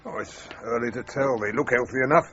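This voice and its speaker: A man's voice: medium pitch, quick pace, a bit bright, lightly acted. A man in a radio drama playing a chatty, grumbling, dutiful office sergeant. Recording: dull, noisy radio drama recording.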